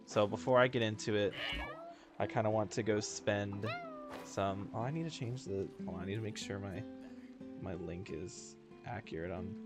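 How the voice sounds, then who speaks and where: A man livestreaming a video game in casual, slangy, joking and self-deprecating chat. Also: lowish voice. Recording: game music behind the voice. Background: video game music.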